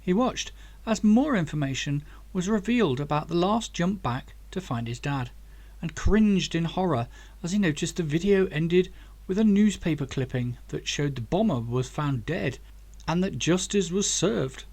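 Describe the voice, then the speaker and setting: A man with a slightly high-set voice reads a novel aloud as its narrator. His delivery is measured and deliberate, in the manner of an audiobook storyteller. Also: animated, a little mellow. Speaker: author reading own book.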